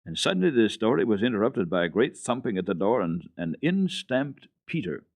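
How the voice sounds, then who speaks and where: Guy doing dramatic storybook narration for kids radio, lowish voice, very dry sound. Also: very animated, brisk, creaky, dry recording. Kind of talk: dramatic reading of children's literature.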